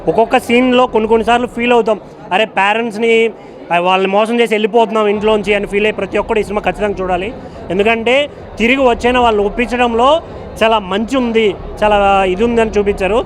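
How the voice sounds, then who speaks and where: High-voiced man, brisk, earnest review. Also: steady tone. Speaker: moviegoer giving a film review. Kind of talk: earnest spoken review to camera.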